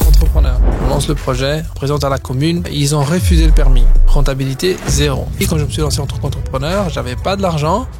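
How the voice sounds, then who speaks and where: Gravelly Brazilian-born man in his thirties. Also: a little high, fast talker, few pauses, over music. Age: 30s. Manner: storytelling with frequent fillers and restarts. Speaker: guest entrepreneur. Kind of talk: casual podcast interview.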